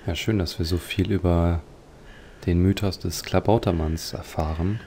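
A man giving reflective, slightly formal game commentary, pausing hesitantly as he thinks aloud. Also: sits low, fairly even intonation, warm tone, slight background noise. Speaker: let's player.

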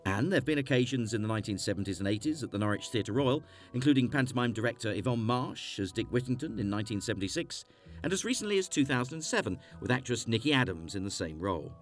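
A man's voice: fairly low pitch, a bit bright. A man reading scripted documentary narration. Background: faint music.

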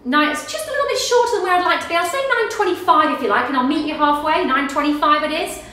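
A woman's voice: sits high, sharp tone, distant mic, a little hiss or hum. Upbeat Australian woman calling an auction. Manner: brisk, upbeat sales patter with rapid bid repetition. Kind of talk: live online property auction calling. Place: small office studio in front of a backdrop. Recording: echoey room, voice heard from off the mic.

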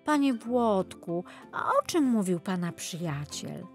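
A woman voicing a curious, polite and excitable little girl in a children's story. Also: medium pitch, takes it slowly. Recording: music behind the voice.

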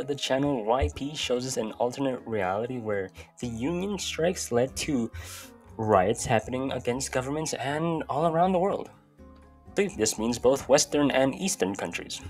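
Young man, irreverent, music behind. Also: medium pitch. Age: young adult. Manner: irreverent, sweary. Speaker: YouTube video host. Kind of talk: casual video commentary. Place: small bedroom, indoors. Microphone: close desk microphone. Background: faint music.

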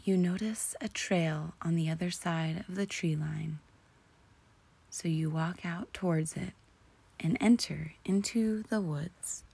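A woman narrating a guided imagery relaxation in a soothing, deliberate, breathy voice that often sinks close to a whisper. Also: fairly low pitch, dark tone. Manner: soothing, slow and deliberate with long pauses. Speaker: guided meditation host.

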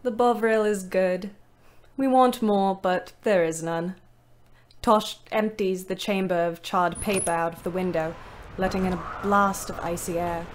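An actress playing a weary young ambulance driver, narrating her diary in a measured, dryly wry way for an audio play. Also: mid-range voice, steady tone, lightly acted. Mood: descriptive.

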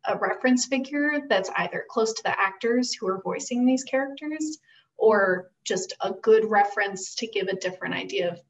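Creaky-voiced young woman, slightly distant mic. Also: mid-range voice, animated, normal speed, dull audio. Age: young adult. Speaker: guest, costume designer. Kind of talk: conversational explanation.

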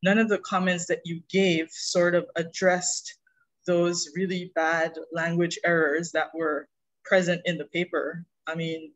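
A woman from Guyana, speaking calmly as a geologist panelist on a video call. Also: lowish voice, rough recording. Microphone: video call webcam.

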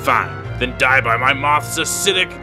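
An actor playing a vicious, unhinged moth-themed villain in a superhero audio drama, with theatrical delivery. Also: male voice, medium pitch, expressive, a little slow, natural voice. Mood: vicious threat. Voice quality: creaky, theatrical. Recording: loud music behind the voice.

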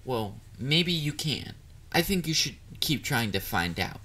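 A man playing a shy, clingy, excitable young catboy boyfriend in an intimate romantic audio roleplay, hesitant and easily flustered. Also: mid-range voice, a little slow, exaggerated voice. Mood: relaxed, affectionate. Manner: hesitant, vulnerable, flustered, with stammers.

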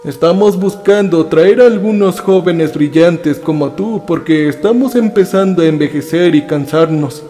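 A man voicing an elderly professor of ninety-two, cold and persuasive, speaking slowly. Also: fairly high pitch, mellow, faint music behind, close to an everyday voice.

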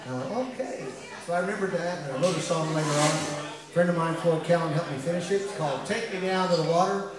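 A man's voice: fairly high pitch, fast talker, crowd noise. An American man from West Virginia, a singer-songwriter, tells a rambling, wryly humorous story in a casual way from a small pub stage. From West Virginia, United States. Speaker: singer-songwriter, live performer. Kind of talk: casual storytelling between songs. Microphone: far camera, amplified stage voice. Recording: echoey live room, distant camera microphone.

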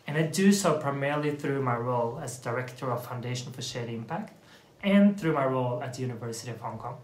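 A young Norwegian-accented man talks to the camera in a small room at home, upbeat and a touch formal, poking fun at himself now and then. His voice sits at an ordinary middle pitch for a man. The room adds a noticeable echo around him. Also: animated, fast. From Norway. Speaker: vlog co-host. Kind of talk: scripted couple vlog introduction.

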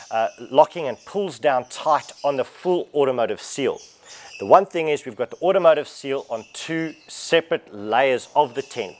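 Casual man demoing gear. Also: medium pitch. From Australia. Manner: casual, explanatory, lively. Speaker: product presenter. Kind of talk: product walkthrough demo. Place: large warehouse showroom indoors.

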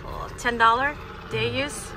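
A woman's voice: on the high side, a little slow, background noise. A woman narrating a casual travel vlog on her phone, commenting on the trail, the food and a campground. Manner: relaxed, remarks on sights and food. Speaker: vlogger. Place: outdoors on hikes, in a diner and in a car.

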